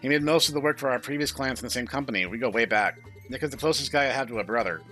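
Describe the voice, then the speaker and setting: A man's voice: medium pitch, racing, sharp tone, faint music behind, close to an everyday voice. A man reading the part of an awkward, sardonic, introspective young programmer in a visual novel. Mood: matter-of-fact. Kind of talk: visual novel read-aloud.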